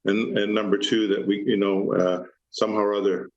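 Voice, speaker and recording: A man giving remarks as a city councillor in a meeting, with measured, hesitant phrasing. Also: lowish voice, level tone, dull audio.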